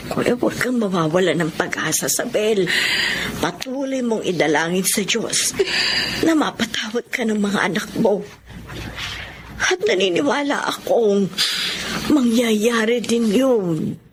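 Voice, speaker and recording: A woman's voice: mid-range voice, animated, measured pace, background noise. A Filipino woman acting a reassuring, homely aunt and older sister in a radio drama. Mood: gentle, encouraging. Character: kindly, hopeful aunt, a consoling older sister.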